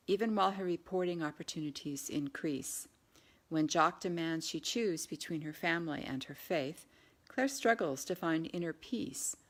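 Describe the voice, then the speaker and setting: A woman reading her own novel aloud in an audiobook narration style. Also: lowish voice.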